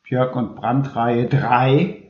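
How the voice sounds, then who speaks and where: A man's voice: mid-range voice, steady tone, very slow, a little mellow. A man hosting a casual interview, recorded in a roomy, muffled sound. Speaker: podcast host.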